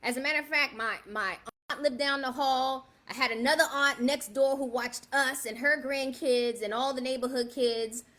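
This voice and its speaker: Chatty high-voiced woman in her fifties. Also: fairly even intonation, moderate pace, few pauses, bright, forceful tone. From South Bronx, New York. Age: 50s. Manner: chatty, keeps asking viewers "right?". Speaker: livestream host. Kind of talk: casual livestream talk to viewers.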